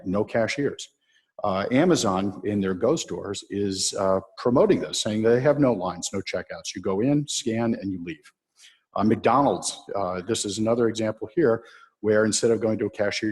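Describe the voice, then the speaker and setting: A man's voice: lowish voice, normal speed, husky. A man giving a public talk on automation, walking an audience through video clips with frequent fillers. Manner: steady explaining, with frequent uh fillers. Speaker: presenter showing video clips. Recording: reverberant hall.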